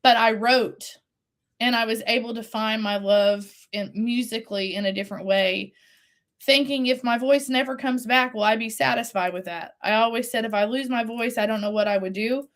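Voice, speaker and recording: A woman's voice: on the high side, fairly even intonation, moderate pace. A woman in her forties, a singer-songwriter talking earnestly and reflectively in an interview, her voice still recovering after a loss. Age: 40s. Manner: earnest, faith-driven, with laughs and restarts.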